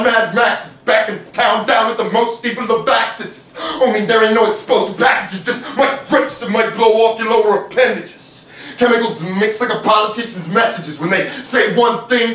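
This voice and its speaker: A young man performing spoken word poetry in a living room, delivering rhythmic rapped verses between soft, rambling chat. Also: high-pitched, steady tone, normal speed. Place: living room of a house, small audience on couches. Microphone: far camera, no mic. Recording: echoey room from far off, faint noise.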